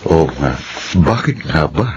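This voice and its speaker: A Filipino man playing a weary, tender middle-aged father in a radio drama, subdued and gentle. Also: extremely low pitch, very animated, a little slow, exaggerated voice. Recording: muffled radio drama, faint noise.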